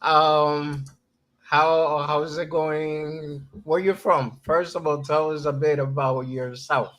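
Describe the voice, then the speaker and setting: A man's voice: on the high side, steady tone, slow pace, fairly bright tone. A man hosting a casual livestream interview, laid-back and chatty, greeting his viewers. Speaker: host.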